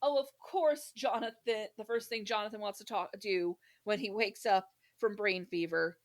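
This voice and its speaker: A woman hosting a podcast in casual, humorous chat, animated and giggly, swearing freely. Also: medium pitch, moderate pace. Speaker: podcast host.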